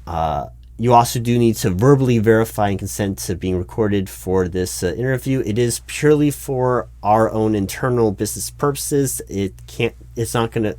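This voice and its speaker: A man's voice: fairly low pitch, normal speed, hardly any pauses, darkish tone, no echo, close to an everyday voice. A man playing a flustered, officious recruiting manager in a one-sided job interview roleplay, stammering and repeating himself. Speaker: roleplay performer.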